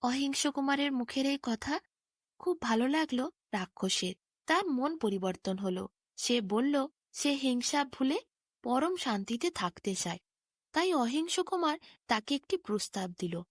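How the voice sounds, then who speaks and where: A woman storyteller reading a scripted bedtime fairy tale with expressive, clear phrasing. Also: high voice, a little slow, dry recording, clean studio sound.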